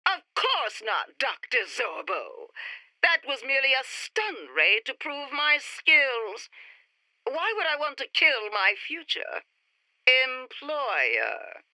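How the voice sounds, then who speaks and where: An actress playing an ambitious, coolly scheming alien inventor prodigy in a retro sci-fi radio play, speaking formally and precisely. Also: female voice, high-pitched, very animated, slow pace, bright, forceful tone, dry recording, heavily acted, rough recording. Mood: confident, persuasive. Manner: formal, precise, self-important.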